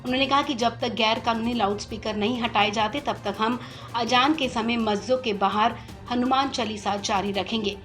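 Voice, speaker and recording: A woman's voice: fairly high pitch, steady tone, moderate pace, a bit bright, faint music behind. A woman presenting the news in a scripted narration style.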